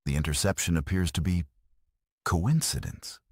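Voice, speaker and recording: A man voicing a suit's computer AI that reports data in a flat, clinical way. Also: low voice, normal speed, husky, no room sound. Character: cool, emotionless suit computer AI.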